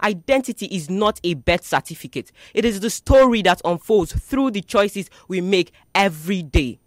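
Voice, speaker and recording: A young student debater with a Nigerian accent delivers a rehearsed, declamatory speech in deliberate, rhetorical phrases. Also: medium pitch, animated, no room sound, studio quality.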